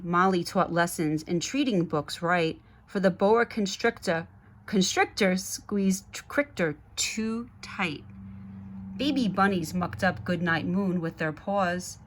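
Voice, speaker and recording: A woman's voice: fairly low pitch, fairly bright tone, a little hiss or hum. A woman reading a children's picture book aloud, speaking directly to young listeners with little asides as she goes. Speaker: guest storybook reader.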